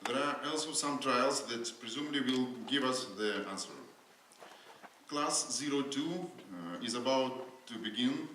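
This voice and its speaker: A non-native English-speaking man giving a surgery lecture at a conference, halting, with many fillers and restarts. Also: mid-range voice, sharp tone. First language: not English. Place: lecture hall. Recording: very echoey hall with faint noise.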